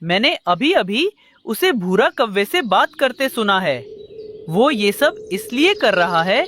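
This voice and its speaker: A woman's voice: mid-range voice, very animated, slow pace, a bit bright, a little hiss or hum. A woman voicing a loyal, urgent, indignant parrot in a children's cartoon. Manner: urgent, emphatic. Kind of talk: Hindi kids' cartoon dialogue.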